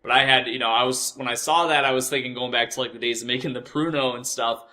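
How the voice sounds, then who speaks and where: A man's voice: mid-range voice, fairly even intonation, sharp tone. A young American man telling personal stories in a casual, rambling way, swearing freely and often doubling back to correct himself. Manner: profane, repetitive, halting and self-correcting. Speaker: host of a personal storytelling show.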